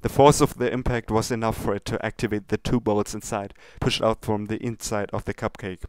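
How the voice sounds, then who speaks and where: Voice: medium pitch, fairly even intonation, a little fast, a little mellow, smooth, dry recording. A narrator reading a comedy fan fiction story from a script.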